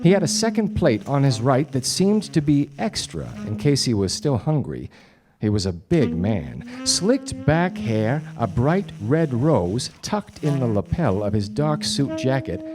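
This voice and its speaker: Wry, animated 1940s gumshoe detective. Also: male voice, mid-range voice. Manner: deadpan wisecracks. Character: wry 1940s male gumshoe detective narrating his case. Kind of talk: 1940s radio detective play, narration and dialogue. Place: stage before a studio audience. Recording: live radio play with music behind.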